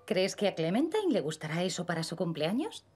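A kind young cartoon mother speaks in Castilian Spanish. She is easygoing, with lively intonation and music playing behind her. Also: on the low side, brisk, breathy edge. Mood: questioning. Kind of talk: cartoon dubbing for young children.

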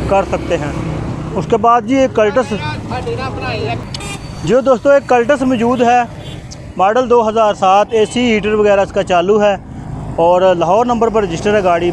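High-voiced man doing car walkaround. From Pakistan. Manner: brisk, repetitive salesman patter. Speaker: used-car video host. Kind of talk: walkaround car sales commentary. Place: outdoor roadside car bazaar. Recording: noisy street outdoors.

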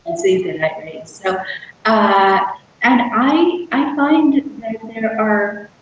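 A middle-aged woman, an author, talking in a halting, thoughtful way with many restarts on a video call from a room at home. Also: fairly high pitch, dull audio, slight background noise. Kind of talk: casual interview on a video call. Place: room indoors. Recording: very echoey room.

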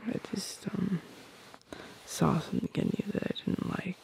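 A woman voicing a tender, playful, possessive girlfriend in an ASMR roleplay, speaking softly and intimately close to the microphone in a breathy, often whispered voice. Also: on the low side, a little hiss or hum. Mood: soothing, tender. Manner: soft, intimate, unhurried, teasing. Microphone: close microphone, dry.

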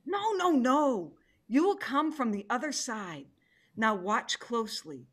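An imperious tigress from a stage play, acted by a woman. The voice is fairly high and very animated, emphatic and impatient, as befits a proud hunter. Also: unhurried. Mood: indignant. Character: proud, impatient female tiger, a great hunter. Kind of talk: theatrical play reading.

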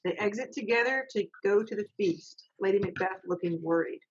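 A woman's voice: mid-range voice, fairly quick, lo-fi sound, a little hiss or hum. A middle-aged woman, reading stage directions as narrator of an amateur readers' theater over a video call. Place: home office on a video call.

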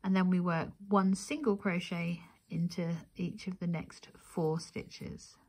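A woman's voice: on the low side, fairly even intonation, slow pace, a little mellow, husky. Woman giving methodical craft instruction. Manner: methodical, counting aloud. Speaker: crochet tutorial presenter.

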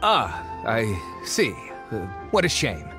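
A man's voice: fairly low pitch, wildly swooping pitch, slow pace, over music, exaggerated voice. A man voicing a greedy, boastful fake archaeologist who blusters and schemes in a video game. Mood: scheming.

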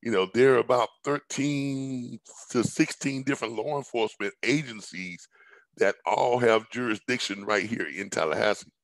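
A hoarse middle-aged man giving a rambling business pitch over a headset on a video call from home, with frequent ums, repeated words and coughs. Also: normal speed, no echo, poor audio. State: hoarse, recovering from illness. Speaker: business presenter. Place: indoors at home, large room with staircase.

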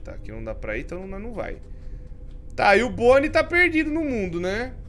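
A Brazilian man in his thirties, a streamer giving jumpy commentary as he plays a horror game, swinging from mumbles to yells. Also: high-pitched, big pitch swings, fairly quick, soft background music. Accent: Brazilian Portuguese. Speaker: gaming streamer. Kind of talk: let's play commentary. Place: home streaming room with colored lights.

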